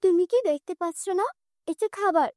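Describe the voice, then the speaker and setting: নারীকণ্ঠে চড়া গলার নিষ্ঠুর মামি. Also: female voice, very high-pitched, normal speed, dry recording, clean studio sound. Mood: sarcastic. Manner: sharp, bossy, sarcastic. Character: cruel, scolding aunt who begrudges her orphaned nieces.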